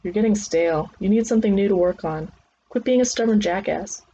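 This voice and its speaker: A woman voicing a sly, blunt older male officer, a gruffly teasing mentor in an audiobook. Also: mid-range voice, fairly quick, warm tone, muffled recording, a little hiss or hum, natural voice. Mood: exasperated. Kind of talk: acted audiobook dialogue.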